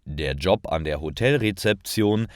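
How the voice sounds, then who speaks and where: A man with a deep voice narrates a simple story for language learners. He reads very slowly and enunciates with care. His intonation moves up and down in a lively way. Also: no room sound, studio quality. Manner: deliberate, carefully enunciated reading. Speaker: narrator of German learning stories.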